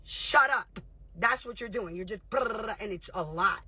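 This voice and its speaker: A woman in her thirties, a reality show host, ranting heatedly and profanely on a livestream, confrontational and rapid-fire. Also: medium pitch, sharp tone, slightly rough.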